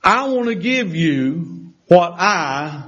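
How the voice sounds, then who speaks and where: A man's voice: high voice, animated, takes it slowly, fairly bright tone. A man in his sixties preaching a folksy sermon in a church, with emphatic rises and call-and-response. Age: 60s. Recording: dull lo-fi sermon recording.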